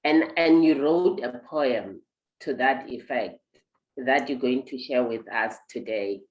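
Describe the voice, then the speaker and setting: A woman with a very low voice hosts an online interview. She talks at length and encourages her guest. The sound is roomy and echoey. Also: slow pace, a little mellow. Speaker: host.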